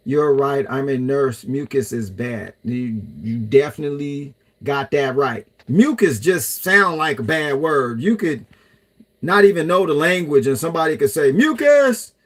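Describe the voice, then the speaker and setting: A man hosting a livestream, casually reading viewer comments and chatting back in with a loose, rambling manner. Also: medium pitch. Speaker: livestream host reading chat.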